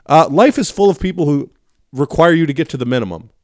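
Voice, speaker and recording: Stuffy nasal guy, kinda high voice, ranting and swearing on a podcast. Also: expressive, fast talker, a little mellow, dry recording, studio quality. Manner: ranting, profane, gets shrill when angry. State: stuffy nose from a cold. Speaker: podcast co-host. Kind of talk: casual opinionated chat.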